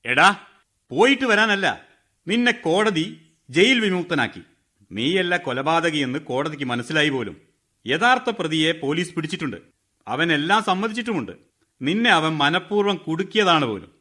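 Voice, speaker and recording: A man's voice: a little high, sing-song, normal speed, pushed and bright, full character voice. An actor playing a brusque, impatient prison policeman in a radio drama, curt and dismissive.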